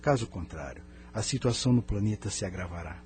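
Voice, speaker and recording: A man with a Brazilian accent playing a grave, wise spirit elder from another planet in an audio drama, solemn and measured. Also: on the low side, lively intonation, darkish tone, close to an everyday voice. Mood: solemn, exhorting. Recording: music bed behind the voice.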